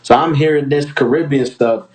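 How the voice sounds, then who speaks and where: A man's voice: on the high side, normal speed, darkish tone. A man from Trinidad and Tobago hosting a music showcase, giving casual, slangy, encouraging feedback as a producer.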